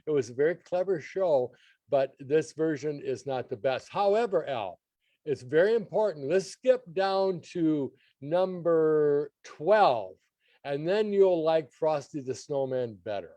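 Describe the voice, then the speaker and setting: A man's voice: a little high, expressive, measured pace, dark tone, no echo. An older man appearing as a regular guest on a radio show, bantering casually and giving opinionated critiques of songs.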